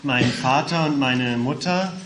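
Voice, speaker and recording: A man's voice: mid-range voice, a little slow, a bit bright, slight background noise, low-quality recording. A man interpreting a speaker's testimony phrase by phrase, matter-of-fact, with occasional hesitation sounds. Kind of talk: consecutive interpreting.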